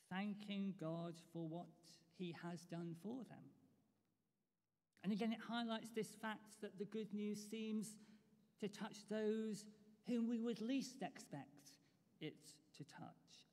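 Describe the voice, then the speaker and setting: A man preaching a sermon in a church, earnest and measured, with pauses and small fillers. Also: high-pitched, mellow, big-room sound.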